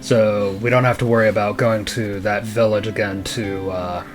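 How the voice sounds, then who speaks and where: A man with a fairly low voice talks through his moves as he plays, plain and matter-of-fact. Game music runs clearly beneath his commentary. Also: level tone, moderate pace. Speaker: let's play host playing the game. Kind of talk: casual gameplay commentary.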